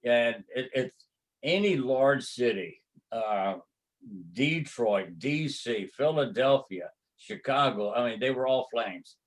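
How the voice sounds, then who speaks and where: An older man with a Texas accent, a martial arts grandmaster recalling his past in an interview, telling it slowly with many fillers and pauses. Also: medium pitch, animated. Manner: slow, halting storytelling with many fillers. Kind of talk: reminiscing interview.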